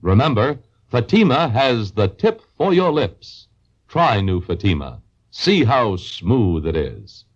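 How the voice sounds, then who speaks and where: A man giving an emphatic, deliberate sales pitch in a 1950s radio commercial. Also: sing-song, slightly rough. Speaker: commercial announcer. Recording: dull vintage radio recording.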